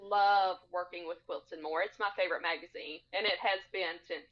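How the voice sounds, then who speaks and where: A woman's voice: fairly low pitch, fairly even intonation, brisk. A woman speaking casually as a guest on a podcast, recorded over a video call with dull, lo-fi sound. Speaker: podcast guest, sewing designer. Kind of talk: casual interview chat. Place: split-screen video call.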